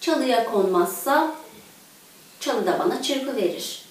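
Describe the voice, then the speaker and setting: A woman's voice: on the high side, animated, takes it slowly. Woman putting on an eager, pleading little flea. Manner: pleading, repetitive, insistent. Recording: echoey room, distant microphone.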